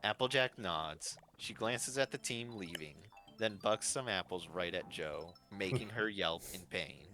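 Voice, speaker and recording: A man's voice: deep voice, animated, a little slow, hardly any pauses, bright, forceful tone. A man narrating a fan-fiction story as its host. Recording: faint music behind. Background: faint music.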